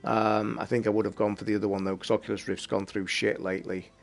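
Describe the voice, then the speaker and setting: A man hosting a casual late-night livestream from his flat, talking in a rambling, blunt way and swearing freely. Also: fairly low pitch, quick pace, gravelly. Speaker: livestream host. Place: home flat.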